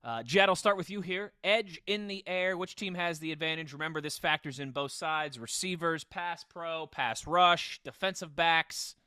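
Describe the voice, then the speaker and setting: Bright-voiced male sports podcast host. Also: a little high, moderate pace, few pauses, smooth, no echo, studio quality. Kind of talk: sports podcast banter and segment hosting.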